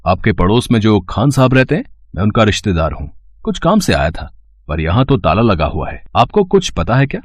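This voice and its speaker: Man as a visiting traveller, low husky voice, polite, measured, cartoon story. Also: sing-song, no echo, clean studio sound. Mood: troubled, polite. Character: courteous male visiting traveller, mildly troubled. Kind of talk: cartoon moral story acting.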